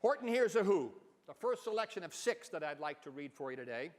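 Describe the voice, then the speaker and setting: High-voiced male storyteller, reverberant hall. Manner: deliberate, expressive storyteller's delivery. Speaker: professor giving a dramatic reading. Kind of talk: theatrical stage reading and presentation. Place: stage in a hall, indoors.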